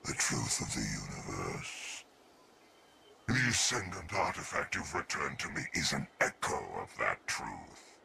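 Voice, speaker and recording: A decadent alien emperor from a video game, booming and theatrical, savoring every word and sinking to a whisper. His voice is very deep and gravelly, with a huge pitch range and an unhurried delivery. Steady ambient noise runs behind him. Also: exaggerated voice. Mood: grandiose. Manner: savoring words, drops to whispers. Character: pompous decadent alien emperor, grand and indulgent. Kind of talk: video game cutscene dialogue.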